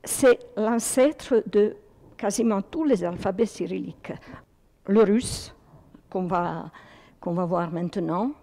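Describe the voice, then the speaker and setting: A woman's voice: mid-range voice, slow, mellow. An older woman with a Serbo-Croatian accent, carefully reading a public talk as a presenter. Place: public hall.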